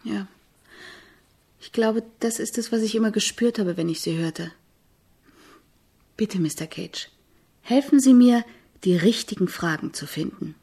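An actress playing an eager, admiring composition student in a radio drama, speaking in an earnest, searching, deferential way with a husky voice. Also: female voice, medium pitch, measured pace, pauses often, dark tone. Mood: admiring, questioning. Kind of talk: German radio drama acting.

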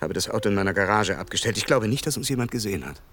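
An actor playing a shaken, devoted rich gentleman in a radio play, speaking in a hesitant, polite and confiding way. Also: fairly low pitch, animated, rapid-fire, slight background noise. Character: shaken, devoted rich gentleman keeping secret night watch. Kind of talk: naturalistic radio play acting.

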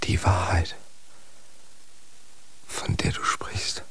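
A doubting young son. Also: male voice, extremely slow. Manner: hushed, questioning whisper. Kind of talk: radio drama acting.

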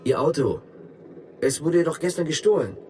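A man's voice: a little high, animated, moderate pace, dark tone, natural voice. Actor as a terse, guarded policeman on the run. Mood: controlled, casual. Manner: terse, understated. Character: guarded, brooding East German policeman on the run. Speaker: actor in a radio drama. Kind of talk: naturalistic German radio drama dialogue. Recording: noisy, car and traffic sounds. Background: vehicle ambience.

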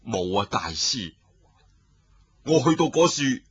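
A man's voice: mid-range voice, big pitch swings, slow, muffled recording, slight background noise. A man voicing a proud young male hero who speaks in an earnest, formal way.

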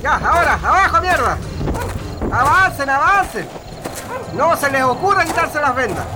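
An actor playing a sadistic, mocking Chilean military corporal in a radio drama, taunting prisoners and barking orders. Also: male voice, very high voice, heavily acted. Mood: menacing, barking. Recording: music bed under the voice.

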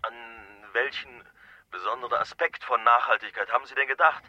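A man's voice: mid-range voice, brisk, no room sound, dull audio, natural voice. An actor playing an evasive, floundering male election candidate on a phone-in, hesitant, in a radio drama. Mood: uncertain, evasive.

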